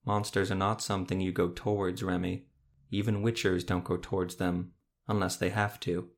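A man voicing a weary, gruff monster hunter who speaks low and gently. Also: level tone, normal speed. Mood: gentle.

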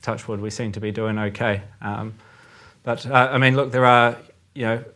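A man with a New Zealand accent, guest on a finance podcast, giving measured market views with many fillers. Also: mid-range voice, flat delivery, a bit bright. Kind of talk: casual finance chat.

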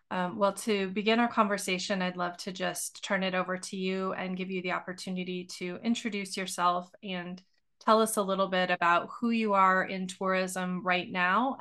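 Soft-spoken woman hosting a podcast. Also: on the low side, vocal fry. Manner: soft-spoken, earnest, with frequent ums. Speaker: podcast host. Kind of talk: podcast interview.

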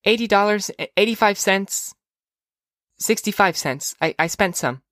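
Narrator voicing an earnest, high-pitched little girl. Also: unhurried, fairly bright tone, dry recording, studio quality, natural voice. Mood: earnest, hushed pleading. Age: child figure. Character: young girl, earnest, blunt, chatty kid sister. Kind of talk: audiobook character dialogue.

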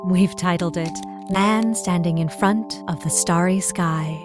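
An actress playing a composed museum exhibit narrator, speaking in a measured, formal theatrical style. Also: female voice, on the low side, music in the background, lightly acted. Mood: solemn, composed.

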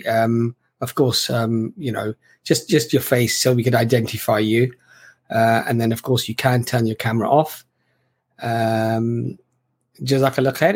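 A man's voice: mid-range voice, husky. A man hosting a live call-in stream, casually moderating in a polite, hesitant, apologetic way. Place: online stream.

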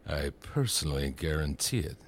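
An actor playing a powerful, menacing schemer in an audio drama, speaking in a gravelly, halting, deliberate way. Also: male voice, very deep voice, big pitch swings, heavily acted. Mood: quietly menacing. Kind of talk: scripted audio drama acting.

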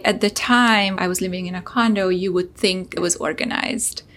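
A woman's voice: fairly low pitch, fairly even intonation, moderate pace, a bit bright. A woman from Hungary, a startup founder, telling an earnest personal story as a podcast guest.

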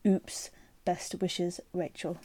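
Lively woman co-hosting a podcast. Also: on the low side, slow pace. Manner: lively, laughs often. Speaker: podcast co-host. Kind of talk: casual chat and reading a listener email.

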